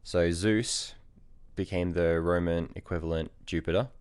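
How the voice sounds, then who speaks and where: Deep-voiced relaxed male podcast host. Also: a little slow, clear, resonant tone, dry recording. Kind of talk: casual chat and storytelling.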